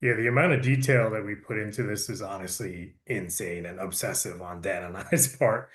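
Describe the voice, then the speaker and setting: Male producer, fairly low voice, explanatory and matter-of-fact panel delivery. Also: moderate pace, fairly bright tone. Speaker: audiobook producer.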